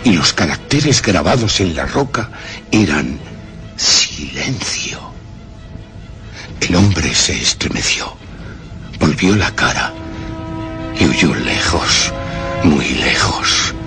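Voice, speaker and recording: Very deep, solemn Castilian male storyteller. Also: sing-song, sharp tone, full character voice. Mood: grave, hushed dread. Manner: grave, theatrical, emphatic phrasing with dramatic pauses. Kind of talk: dramatic radio drama narration of a horror tale. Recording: music under the voice.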